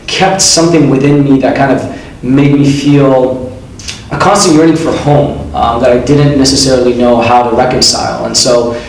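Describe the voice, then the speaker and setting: A man who founded an admissions consultancy, answering interview questions in an earnest, reflective way with frequent "uh" and "um" pauses. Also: mid-range voice, fairly even intonation, a little hiss or hum. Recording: very echoey room, mic at a distance, faint ambience.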